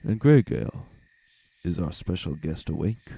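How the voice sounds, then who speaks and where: Man acting solemn, low-voiced zealous cult leader unicorn. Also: very animated, unhurried, vocal fry, dark tone, breathy edge, full character voice, rough recording. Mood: commanding. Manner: grandiose, ceremonial incantation.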